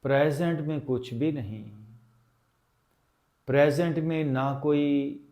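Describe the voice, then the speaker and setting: A man leading a guided meditation as a teacher, speaking calmly and deliberately with long pauses. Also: on the high side.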